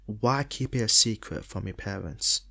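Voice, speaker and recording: A man's voice: on the low side, lively intonation, moderate pace, creaky, pushed and bright, exaggerated voice. A man acting a polite, probing detective in an audio drama. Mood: politely probing. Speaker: actor in a podcast drama.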